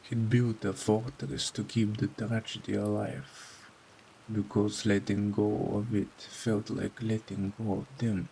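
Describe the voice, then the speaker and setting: A man narrating a scripted horror story in a solemn, dramatic way with deliberate phrasing. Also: on the low side, little pitch movement, gravelly, warm tone, slight background noise, low-quality recording. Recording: faint rain behind voice.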